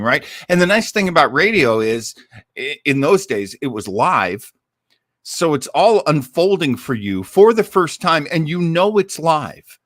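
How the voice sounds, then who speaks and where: A man's voice: a little high, fairly bright tone, no echo, studio quality. A man speaking as a host in casual, enthusiastic and digressive commentary to his live audience, with lightly dramatic emphasis. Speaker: host of an old-time radio listening party.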